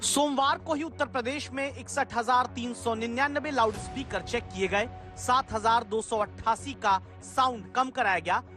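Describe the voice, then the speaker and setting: A woman's voice: on the high side, normal speed, few pauses. A woman presenting a dramatic, scripted news report. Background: loud music bed.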